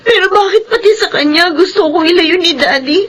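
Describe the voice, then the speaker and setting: Very high-pitched Filipino teenage girl. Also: fairly quick, fairly bright tone, a little hiss or hum. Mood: tearful, pleading. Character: hurt, pleading teenage daughter, polite and frail. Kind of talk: Filipino radio soap acting.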